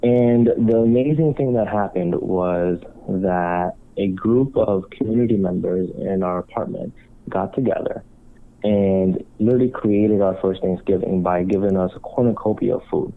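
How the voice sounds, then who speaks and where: A man who was born in Cuba and works as a marriage counselor calmly tells his life story as a guest on a talk show. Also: low voice, moderate pace. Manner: calm, reflective storytelling. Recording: tinny phone-line sound.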